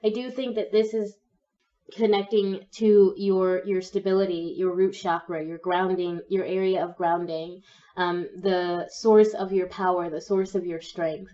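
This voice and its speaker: A young woman with a cold and a slightly croaky voice, gently talking through a pick-a-card tarot reading. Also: on the low side, steady tone, measured pace, distant mic, dull audio. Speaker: tarot reader.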